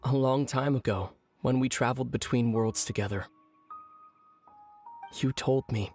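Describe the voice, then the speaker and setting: A male actor plays a weary young twin brother in a fantasy game. He speaks softly and gravely at a middle pitch, with measured phrasing. Light music plays behind him. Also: steady tone, close to an everyday voice. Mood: wistful. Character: solemn young man, weary long-lost twin brother. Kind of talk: scripted game voice acting. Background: background music.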